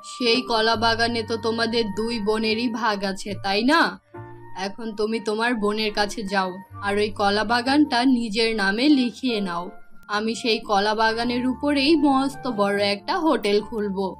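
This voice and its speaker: A woman's voice: a little high, steady tone, unhurried, faint music behind, voice pushed down, lightly acted. A woman voicing a greedy, calculating young husband in a cartoon fairy tale, coaxing as he schemes. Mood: scheming.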